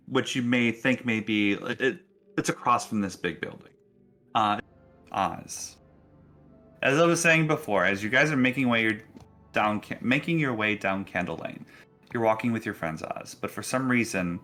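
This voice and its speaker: A man narrating a tabletop roleplaying game as dungeon master, halting and repeating words as he goes. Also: lowish voice, bright, forceful tone. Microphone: online group call. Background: music.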